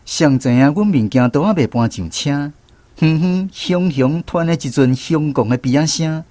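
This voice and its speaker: An actor playing a reflective former soldier, narrating a radio drama expressively with a Taiwanese accent. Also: male voice, on the high side, moderate pace, a little hiss or hum, lightly acted. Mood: reflective storytelling. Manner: expressive storytelling.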